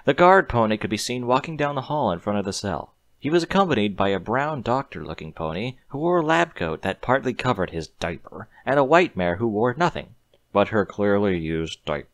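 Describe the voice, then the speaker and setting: A man's voice: on the low side, sing-song, brisk, dry recording. A man narrating a scripted story expressively on a close mic.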